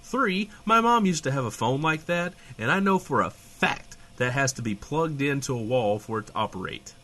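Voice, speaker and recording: An actor playing a sarcastic, hedging, foul-mouthed small-town radio host in a comedic audio drama, trading banter. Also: male voice, medium pitch, expressive, normal speed, bright, forceful tone. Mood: reluctant. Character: irreverent, flustered small-town radio host, adult man.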